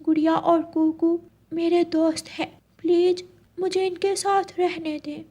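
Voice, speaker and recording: A high-voiced magic apple played by a woman from a cartoon tale. It is friendly and polite, speaking gently. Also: flat delivery, a little slow. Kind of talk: Hindi cartoon story dubbing.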